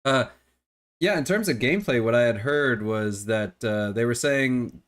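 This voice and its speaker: A man's voice: mid-range voice, a little slow, fairly bright tone. A middle-aged man with a cold and a phlegmy voice, co-hosting a casual gaming podcast and often repeating words as he restarts. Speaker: podcast co-host.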